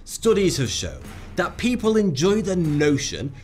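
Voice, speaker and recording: A man narrates an explainer script in a sarcastic, wry tone. His pitch rises and falls in a lively way, and faint music runs under the voice. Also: measured pace.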